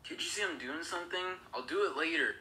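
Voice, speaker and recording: A man's voice: on the high side, fast talker, sharp tone. A man acting out a comedy sketch, switching between a patient dad and a lazy kid. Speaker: short comedy sketch creator. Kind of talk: acted comedy skit. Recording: echoey room with noise, played from a phone clip.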